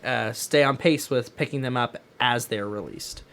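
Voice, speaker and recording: Man, podcast co-host, mid-pitched voice, casual discussion, emphatic, opinionated. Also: moderate pace, gravelly, a bit bright. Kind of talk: casual pop-culture discussion.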